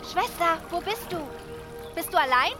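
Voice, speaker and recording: A woman's voice: very high voice, lively intonation, normal speed, loud music behind the voice. A woman playing a young, carefree water spirit in a German fantasy radio drama, girlish and teasing. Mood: searching, playful. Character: playful young Rhinemaiden, fish-like female water spirit, carefree.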